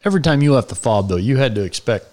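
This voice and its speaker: A man's voice: medium pitch, lively intonation, very fast, darkish tone. A man co-hosting a horse podcast, speaking earnestly and openly praising his guest. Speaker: podcast co-host. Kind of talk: casual interview chat.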